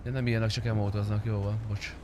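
A man's voice: lowish voice, flat delivery. A man talking casually and swearing while playing an online game. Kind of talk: casual gaming voice chat. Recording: faint background noise.

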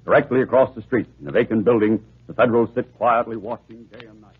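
A man's voice: medium pitch, fast, husky. A man announcing and narrating an old radio crime drama in a scripted 1940s style. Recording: tinny vintage radio recording, music behind.

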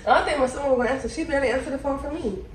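A woman's voice: high-pitched, rapid-fire. A young American woman talking casually while making prank phone calls. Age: young adult. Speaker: prank-call video host. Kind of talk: casual prank-call chat. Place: indoor room with cloth backdrop. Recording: echoey, distant mic, faint noise.

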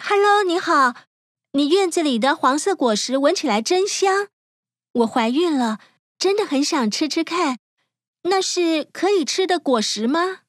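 A woman's voice: high voice, animated, measured pace, no echo, clean studio sound. A woman playing a naive, eager young mother-to-be in a children's fairy-tale cartoon, in an earnest, girlish way. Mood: friendly, eager. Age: young adult. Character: naive young pregnant wife, craving and eager.

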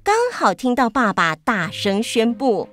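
A woman's voice: a little high, expressive, measured pace, fairly bright tone, faint music behind. A woman narrating a story for children as a storyteller.

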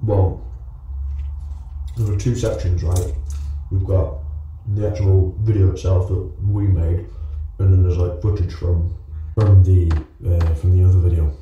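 An actor playing an uneasy technician on a phone call in a horror film, speaking in a halting, naturalistic way. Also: male voice, low voice, level tone, mellow. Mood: distracted, casual. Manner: hesitant, halting, casual swearing. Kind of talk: naturalistic found-footage horror acting. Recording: muffled, roomy, distant, faint noise.

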